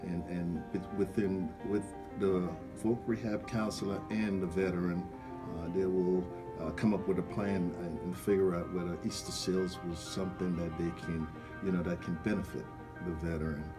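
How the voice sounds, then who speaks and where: A man answering questions as a radio interview guest, speaking in halting, hesitant phrases. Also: low-pitched, level tone, music in the background. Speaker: guest, veterans program representative. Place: small radio studio. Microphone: studio microphone.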